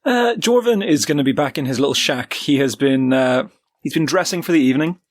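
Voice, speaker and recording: A man's voice: a little high, animated, brisk, dry recording. A man playing a tabletop game, describing his character in improvised narration.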